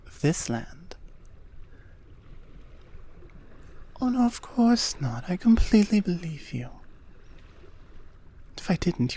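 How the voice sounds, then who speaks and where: An ancient Amazon queen, acted by a woman for an ASMR roleplay, regal and gracious. Her voice is mellow and rather low, with a soft breathy texture. She addresses the listener formally and very slowly, measured but wary. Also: exaggerated voice. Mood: wary, serious. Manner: measured, deliberate, formal, with frequent pauses.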